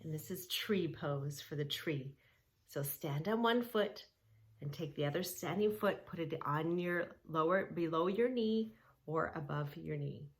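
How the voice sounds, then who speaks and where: Woman, children's read-along, yoga instruction, unhurried pace, slightly low pitch, encouraging, simple phrasing. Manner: simple, encouraging, addressing young children directly. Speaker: children's yoga teacher and story reader.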